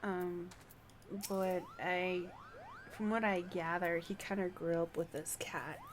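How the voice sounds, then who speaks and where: A woman's voice: on the low side, steady tone, slow. A woman livestreaming a game, chatting casually in a conversational, rambling storytelling way. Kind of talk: casual stream chat, reading game dialogue aloud.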